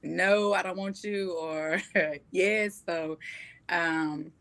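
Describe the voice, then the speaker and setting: A woman's voice: lowish voice, steady tone, extremely slow, a bit bright. An American woman giving casual interview answers in a chatty, light-hearted way. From Texas, United States. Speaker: first-time stage actress, interview guest.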